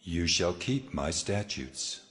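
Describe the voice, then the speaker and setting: An actor playing God as a solemn, measured, commanding lawgiver in a dramatized scripture reading. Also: male voice, sits low, very animated, fairly bright tone, full character voice. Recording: faint hall reverb, music underneath. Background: music.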